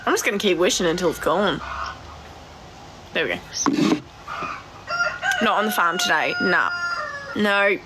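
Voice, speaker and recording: A blunt Australian woman in her twenties with a naturally deep voice, chatting casually on a live stream from her phone and swearing freely. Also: expressive, unhurried, long pauses, heavy background noise. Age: 20s. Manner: blunt, swears a lot, laughs easily. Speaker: cattle farmer vlogger. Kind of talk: casual live stream chat answering comments. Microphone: phone at arm's length.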